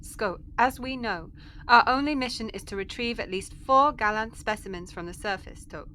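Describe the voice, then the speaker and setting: Alien expedition leader, female actor: rigid, formal, forceful bright voice for sci-fi audio, faint noise behind. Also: on the high side, normal speed. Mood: stern, businesslike. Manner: clipped, formal, commanding, bracketing each utterance with ritual words. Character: brisk alien woman mission chief, rigid formal speech. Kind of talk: sci-fi audio drama acting.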